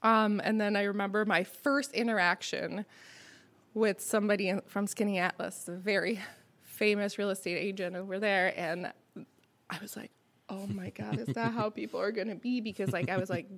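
A woman's voice: medium pitch, steady tone, moderate pace, fairly bright tone. A woman in her thirties from Montreal, a native French speaker with a French Canadian accent, chatting casually as a podcast guest and filling her talk with "like" and "you know". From Montreal, Quebec. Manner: talkative, lots of "like" and "you know". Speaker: guest, restaurant co-owner. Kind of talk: casual conversation.

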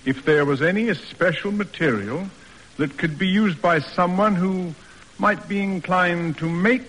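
An actor playing a stiff, proper middle-aged father in a classic radio drama, speaking in measured, roundabout formal sentences. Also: high-pitched, fairly bright tone. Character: stiff, proper, reserved father in period New England. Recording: old radio recording, reverberant, music behind.

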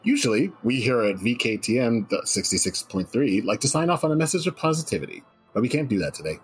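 Man acting a ranting, husky doomsday radio announcer. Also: mid-range voice, very animated, quick pace, soft background music. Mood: grave, solemn. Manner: theatrical, ranting, swooping into shouts of outrage. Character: hysterical doom-preaching male radio announcer, turning darkly reverent. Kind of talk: dramatic radio broadcast reading.

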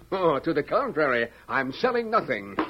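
A smooth-talking, effusively courteous traveling salesman. His high voice swoops with flowery, ingratiating politeness. It comes from a dull, slightly noisy vintage radio western. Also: sing-song, moderate pace. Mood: ingratiating, chirpy. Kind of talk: old-time radio western acting.

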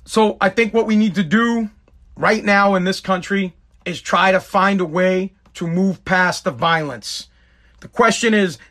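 High-voiced, fervent man. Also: fairly bright tone. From United States. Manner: emphatic, profane, repetitive. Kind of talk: impassioned monologue to viewers.

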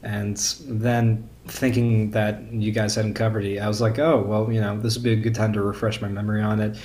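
A man's voice: lowish voice, little pitch movement, moderate pace, mellow. A young man chatting casually as a podcast guest, candid and opinionated. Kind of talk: casual chat.

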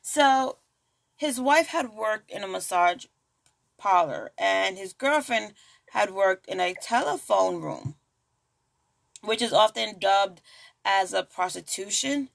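Slow, gravelly woman podcaster. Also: mid-range voice. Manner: chatty, asides and jokes. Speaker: podcast host. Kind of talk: casual true crime podcast chat.

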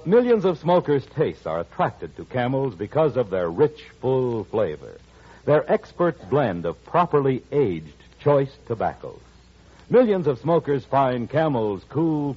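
A man's voice: medium pitch, husky. A man announcing in 1940s radio style with polished, emphatic salesmanship. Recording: dull old radio recording.

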